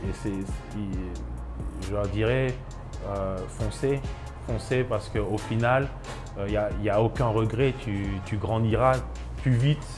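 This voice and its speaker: A man's voice: fairly low pitch, a little slow, music in the background. A man in his thirties from Ivory Coast, a chef and restaurant owner, speaking in a documentary interview with many hesitations and restarts.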